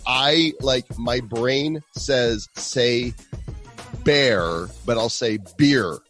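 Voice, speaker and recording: A man's voice: medium pitch, drawn-out words, fairly bright tone, faint music behind. A man with a Chicago accent co-hosting a podcast, reading ads and chatting in a hammy, playful way. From Illinois. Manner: playful, hammy, swaps air and ear sounds. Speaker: podcast co-host. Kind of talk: casual podcast chat and ad reads.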